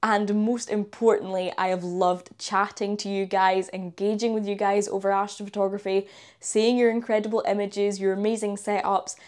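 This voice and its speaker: A woman's voice: medium pitch, flat delivery, normal speed, a little mellow. A young woman in her twenties with a Scottish accent, chatting upbeat about her online community, recorded on a lapel mic in a small room. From Scotland. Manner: upbeat, chatty, uses local words like wee and yous. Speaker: astrophotography channel host. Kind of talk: enthusiastic vlog and screen walkthrough. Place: small indoor room by a coat rack. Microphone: clip-on lapel mic.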